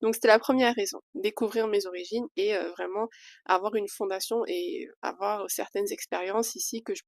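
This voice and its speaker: A young woman from Switzerland talks candidly to her camera in a casual vlog. Her voice sits at an ordinary middle pitch, and she often pauses on small fillers as she gathers her thoughts. The sound is close and dry, with no room around it. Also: steady tone, no room sound. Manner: chatty and candid, with hesitant fillers. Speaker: vlogger.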